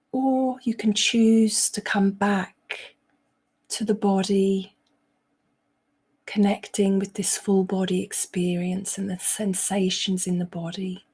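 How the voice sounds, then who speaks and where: A woman meditation coach with a relaxed, mellow tone and a middle-range pitch. She speaks slowly, pausing often between short phrases. Her guidance is gentle and instructional throughout. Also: breathy edge. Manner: gentle, unhurried, instructional with frequent pauses. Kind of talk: guided meditation.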